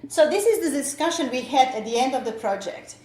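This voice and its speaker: A woman's voice: high-pitched, lively intonation, fairly quick, darkish tone. A woman teacher, presenting at a workshop with many fillers and restarts. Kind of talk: conference presentation. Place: lecture room. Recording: echoey lecture room, faint noise.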